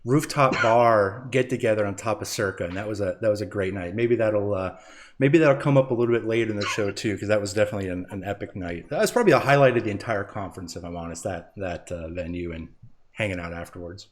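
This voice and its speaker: A man's voice: fairly low pitch, fast talker. A man co-hosting a podcast, friendly and laid-back, with many fillers. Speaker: podcast co-host. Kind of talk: casual podcast chat.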